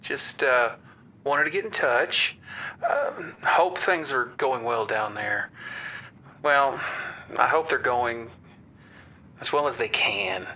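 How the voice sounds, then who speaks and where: An actor playing an awkward father who leaves a halting voicemail full of fillers. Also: mid-range voice, bright, forceful tone. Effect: answering machine playback. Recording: dull voicemail playback.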